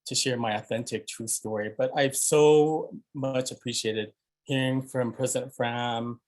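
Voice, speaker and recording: A man's voice: mid-range voice, steady tone, unhurried, a little mellow. A man speaking as a panelist, hesitant and searching for words. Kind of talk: panel remarks.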